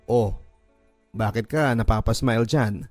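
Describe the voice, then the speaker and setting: A man's voice: on the low side, measured pace, darkish tone, no echo, faint music behind, lightly acted. A man playing an easygoing, confident young male boss in a radio drama, casually mixing in English. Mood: teasing. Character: friendly, confident young male company boss in his early twenties.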